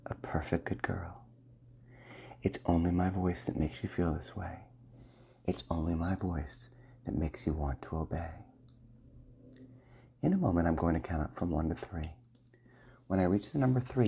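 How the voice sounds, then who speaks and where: A man with a very low, dark voice leads a slow ASMR hypnosis session. His tone is gravelly and deeply breathy, and he speaks in soft, commanding phrases that often sink to a half-whisper.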